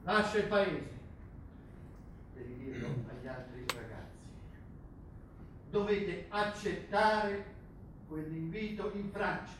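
Stern older coach, high-pitched, echoing. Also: male voice, slow, long pauses. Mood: urgent warning. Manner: emphatic, warning. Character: fearful, world-weary older rugby coach, stern. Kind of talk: theatrical stage acting. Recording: echoey theatre, distant mic, background hiss.

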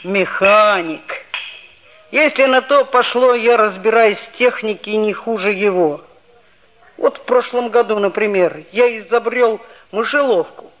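A woman's voice: medium pitch, slight background noise. A woman playing a boastful, touchy schoolboy who tells his story expressively and earnestly in a 1960s Soviet radio play. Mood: indignant, boastful. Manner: expressive, self-important, earnest. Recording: dull old radio recording, faint music.

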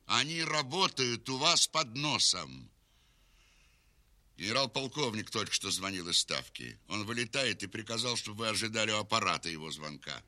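A man acting a brisk, severe Soviet staff officer in a Russian audio drama, speaking in a clipped, official and pointed way. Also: fairly low pitch, big pitch swings, pushed and bright, full character voice. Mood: severe, warning.

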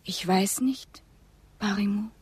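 An actress playing a dreamy, wistful young Comanche woman in a radio drama, with a very breathy, often half-whispered voice. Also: mid-range voice, slow pace, a little mellow. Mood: troubled wonder.

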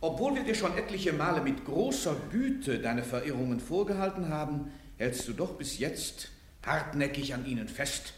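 An actor playing a stern, fanatical church inquisitor in a radio drama, preaching and declaiming. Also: male voice, on the high side, sing-song, moderate pace, a little hiss or hum. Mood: soft, urging. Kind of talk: classic German radio drama acting. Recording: echoey, roomy radio drama recording.

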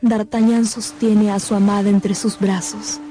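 A woman's voice: medium pitch, flat delivery, measured pace, warm tone, soft background music. A woman delivering scripted, dramatic narration for a radio play.

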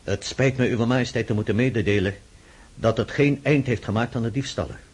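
A man plays a dutiful officer serving an emperor in a radio drama. His voice is markedly husky and breathy, mid-pitched, and he reports in a formal, sober, deferential way. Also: a little fast, darkish tone, lightly acted. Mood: subdued, apologetic. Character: deferential, dutiful male military aide to an emperor.

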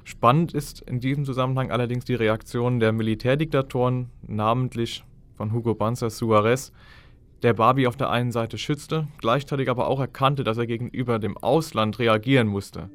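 Mid-pitched analytical male commentator. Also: fairly even intonation, moderate pace. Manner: analytical, explanatory. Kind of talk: documentary commentary.

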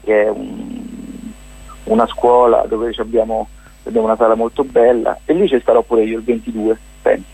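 A man with a Roman accent speaks in a creaky, husky voice, close and dry. He is an interview guest talking off the cuff about what he is presenting. He rambles and stumbles, often poking fun at himself. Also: lowish voice, little pitch movement, mellow, no echo, rough recording. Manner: halting, self-deprecating, joking, rambling. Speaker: guest presenting a cultural festival. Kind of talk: informal radio interview, unscripted chat.

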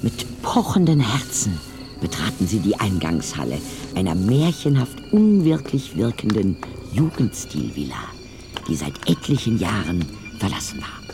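A man's voice: on the low side, huge pitch swings, measured pace, music in the background. A man narrating a scripted audio drama in a suspenseful storytelling style.

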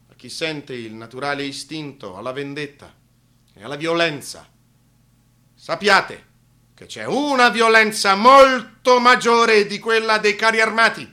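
An actor playing a compassionate archbishop preaching in a radio play, slow and solemn, swelling into impassioned cries. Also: male voice, high-pitched, bright, forceful tone, voice pushed up, heavily acted. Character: martyred Latin American archbishop preaching, solemn, fervent, compassionate. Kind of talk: radio theatre sermon.

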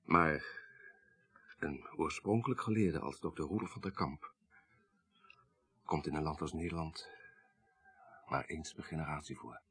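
An actor with a Netherlands Dutch accent playing an articulate, grieving professor in a radio crime drama, speaking precisely with dry irony. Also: male voice, very deep voice, a little slow, long pauses, a bit bright, slightly rough, heavily acted. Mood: measured, bitter. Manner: precise, lecturing, dryly ironic. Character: grieving, articulate male professor, child of a murdered minister.

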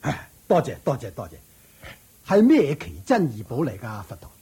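A man's voice: mid-range voice, wildly swooping pitch, normal speed, dark tone, husky, full character voice. An actor playing a greedy, scheming, impatient father and lord in a Cantonese radio drama, exclamatory and wheedling, with a Hong Kong Cantonese accent. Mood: eager, greedy.

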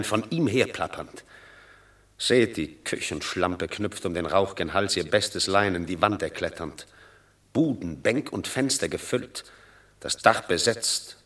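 An actor playing a scheming Roman tribune of the people in a radio play, speaking with scorn. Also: male voice, lowish voice, fairly bright tone. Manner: scornful, wordy descriptive speech. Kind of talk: classic radio play acting.

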